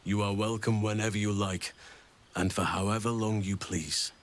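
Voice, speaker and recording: An actor playing a gentle, wise, warm-hearted druid in a fantasy video game, speaking in formal, courtly, unhurried phrases. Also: male voice, on the low side, fairly even intonation, a little hiss or hum, natural voice. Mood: calm, proud. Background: music.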